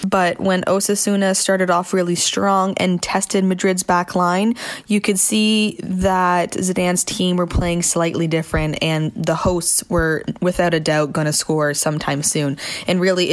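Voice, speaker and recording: A woman football journalist answering interview questions with articulate, prepared analysis. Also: on the low side.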